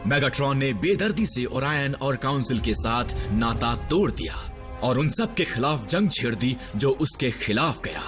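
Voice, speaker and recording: A man playing a grave veteran robot soldier in a dubbed cartoon, telling war history in a measured, solemn way. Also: medium pitch, lively intonation, lightly acted. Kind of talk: dubbed animated series dialogue and narration. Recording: muffled sound with loud dramatic music.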